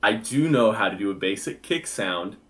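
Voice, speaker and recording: A young man and bass singer, a guest chatting casually in an interview, easygoing and full of filler words. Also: expressive, normal speed, fairly bright tone. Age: young adult.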